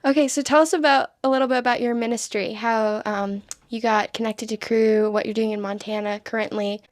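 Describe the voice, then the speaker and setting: Woman podcast host, higher-pitched voice, upbeat, encouraging, casual interview style. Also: fairly even intonation, a little fast.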